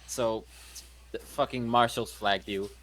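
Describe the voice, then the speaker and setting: A man's voice: lowish voice, steady tone, takes it slowly, a bit bright, a little hiss or hum. A man playing a tabletop game in character, brash and blunt, cursing freely. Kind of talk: in-character roleplay chat.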